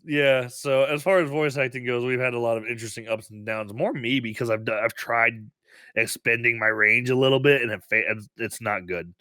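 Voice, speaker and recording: Podcast co-host, male, under the weather, bright edge, giggly, trips over restarts, dry close mic. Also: mid-range voice, steady tone, brisk, dry recording. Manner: laughs often, restarts sentences. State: sick. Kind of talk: casual podcast chat.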